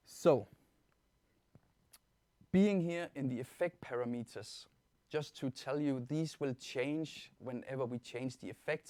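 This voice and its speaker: A man with a Danish accent giving an informal software tutorial as a webinar presenter, explaining things step by step in a reassuring way. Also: medium pitch, dry recording. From Denmark. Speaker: webinar presenter, product trainer.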